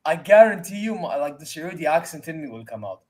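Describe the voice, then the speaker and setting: A man's voice: a little high, a little fast. A man hosting a watchalong, telling stories in casual chat and laughing often. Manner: laughing, animated storytelling. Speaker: watchalong host.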